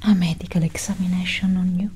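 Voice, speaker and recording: A cool, curious alien abductress acted by a woman whispers in a low, very dark and extremely breathy voice. She speaks softly and intimately to her human specimen, with a condescending air, as in a close-miked ASMR roleplay. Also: steady tone. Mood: coaxing, commanding. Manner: slow, soft, condescending, intimate. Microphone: close microphone.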